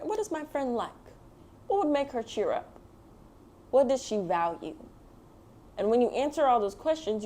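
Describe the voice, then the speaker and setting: A woman hosts a picture book read-aloud for children. Her voice sits at a middle pitch for a woman. She explains things in simple, encouraging words, speaking straight to young listeners. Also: a little mellow, a little hiss or hum.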